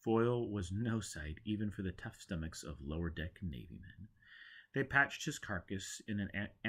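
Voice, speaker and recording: A man's voice: low-pitched, husky. A man narrating a scripted story dramatically as an audiobook reader.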